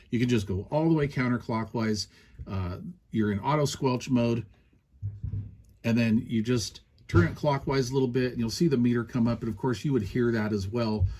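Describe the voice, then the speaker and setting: A man's voice: lowish voice, mellow. A man giving a casual hands-on product demonstration as a reviewer, explaining things in a conversational way.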